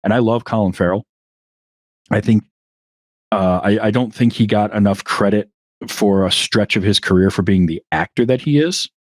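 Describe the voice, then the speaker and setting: Low-voiced man chatting casually. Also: moderate pace, no room sound, clean studio sound. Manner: rambling, restarts often, chuckles at himself. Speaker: podcast guest. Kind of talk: casual interview chat.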